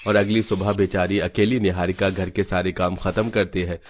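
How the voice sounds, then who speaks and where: A man's voice: low-pitched, flat delivery, moderate pace, a little hiss or hum. A man reading scripted narration for a family story.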